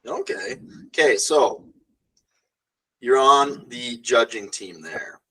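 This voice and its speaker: A man co-hosting a podcast, joking and throwing in quick reactions and short questions in casual chat. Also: medium pitch, expressive, fairly bright tone, low-quality recording. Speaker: podcast co-host.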